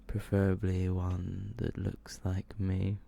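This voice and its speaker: A man speaks in a hushed, low-pitched ASMR murmur that often drops to a whisper. His delivery is slow and almost completely flat, as he reads close to the mic. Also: gravelly. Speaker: ASMR video host reading short horror stories.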